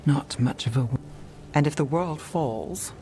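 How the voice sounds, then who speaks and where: A woman's voice: sits low, unhurried, dark tone. A woman playing a guarded, world-weary city investigator in a video game, speaking in a dry, understated way. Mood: world-weary, wry. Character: wry, guarded female city private investigator. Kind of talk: dramatic video game dialogue. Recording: music behind the dialogue. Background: game music.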